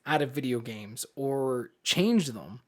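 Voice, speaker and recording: A man's voice: mid-range voice, lively intonation, takes it slowly, a bit bright. A man co-hosting a podcast in casual chat, agreeable and often checking that he is being followed. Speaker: podcast co-host.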